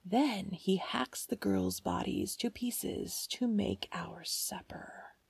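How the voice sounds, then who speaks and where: A woman voicing a frightened, sorrowful old woman held prisoner, speaking in a slow, hissing whisper. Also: medium pitch, huge pitch swings, creaky, breathy edge, no room sound, full character voice. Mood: grave warning.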